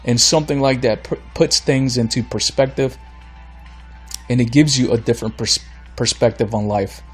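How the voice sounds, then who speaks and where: A man's voice: mid-range voice, steady tone, moderate pace, slightly rough, soft background music. Ranting podcast man losing his voice. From Florida. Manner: blunt, ranting, exasperated. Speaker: podcast host. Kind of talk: solo podcast rant.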